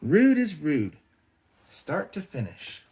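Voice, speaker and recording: A stern mother bear from a storybook, acted out by a man, scolding her cubs in a raised, animated voice. She is dismayed and firm as she lays down the rules. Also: a little high, slow pace, a little hiss or hum, voice pushed up, exaggerated voice. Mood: firm, lecturing.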